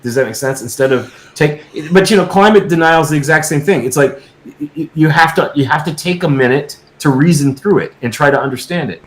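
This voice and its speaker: Echoey, insistent man, halting delivery. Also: on the high side. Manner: halting, repetitive, insistent, seeks agreement. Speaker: guest filmmaker. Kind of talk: casual unscripted discussion. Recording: echoey, roomy sound.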